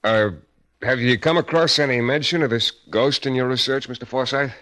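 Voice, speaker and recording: A man's voice: medium pitch, normal speed, fairly bright tone, natural voice. An actor playing a wry, hard-boiled American private detective, narrating his case in a 1940s radio drama style. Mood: questioning. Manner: wry, world-weary storytelling. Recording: old radio broadcast with music behind.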